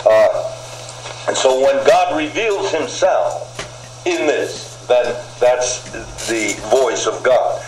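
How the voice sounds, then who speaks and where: Slow, deliberate older male preacher. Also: mid-range voice, lively intonation, over music. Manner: slow, deliberate, folksy asides. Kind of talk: sermon. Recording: roomy echo, faint background noise.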